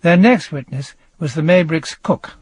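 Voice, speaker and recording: A man narrating a historic trial in a measured, dryly wry, scripted storytelling style. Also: a little high, a little mellow, breathy edge. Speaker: narrator, presenter. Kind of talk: scripted true-crime narration.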